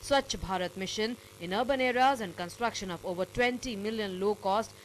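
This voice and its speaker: Indian woman reporter, medium-pitched voice-over. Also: normal speed, slight background noise, poor audio. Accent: Indian English. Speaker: news reporter. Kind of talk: scripted news voice-over.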